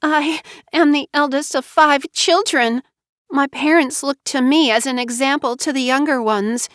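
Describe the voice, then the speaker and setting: A woman narrator voicing a manipulative young wife who pleads in a high, whiny little-girl voice through sobs. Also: steady tone, a little slow, fairly bright tone, no echo, studio quality. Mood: plaintive. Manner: high little-girl whine, sobbing pleas.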